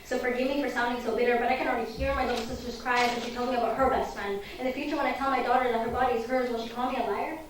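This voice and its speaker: Young woman, high voice, rapid impassioned poetry recital, big echoey room. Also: steady tone. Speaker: spoken word poet. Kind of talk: spoken word poetry performance. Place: event hall before an audience. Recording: echoey hall, distant mic, faint noise.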